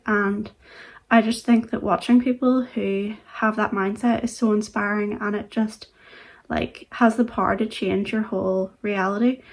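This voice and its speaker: Croaky woman giving chatty money tips. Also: medium pitch. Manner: chatty and unhurried, leans on "like". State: croaky from a cold. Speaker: frugal living vlogger. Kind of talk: casual advice vlog.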